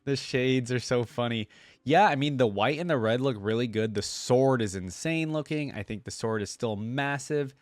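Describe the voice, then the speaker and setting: A man's voice: mid-range voice, moderate pace, no echo. Casual man reacting, dry close sound. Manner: informal, filler-heavy, thinking aloud. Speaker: reaction video host.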